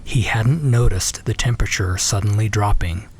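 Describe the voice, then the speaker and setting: A man's voice: on the low side, moderate pace, slight background noise. Ominous male suspense narrator. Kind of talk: dramatic scripted suspense narration.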